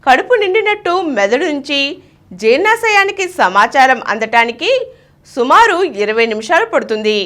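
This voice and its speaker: A woman with a high, bright voice reads scripted news as a bulletin presenter. Her carries through a lively delivery, with pitch that rises and falls widely. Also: normal speed. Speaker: news reader.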